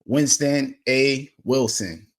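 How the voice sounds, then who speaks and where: A man's voice: medium pitch, takes it slowly. A man hosting a casual podcast interview, affirming his guest and speaking with frequent fillers. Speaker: podcast host.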